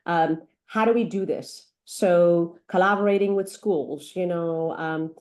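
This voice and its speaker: Earnest Iranian-born woman doctor, lowish voice. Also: steady tone, a little slow, darkish tone. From Iran. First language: not English. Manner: earnest, many fillers and restarts. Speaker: pediatric doctor. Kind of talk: interview conversation.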